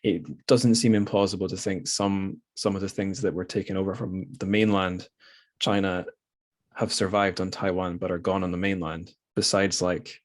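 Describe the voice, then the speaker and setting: A man's voice: deep voice, level tone, normal speed. A British man hosting a casual interview podcast, talking in a rambling, tentative, self-deprecating way. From United Kingdom.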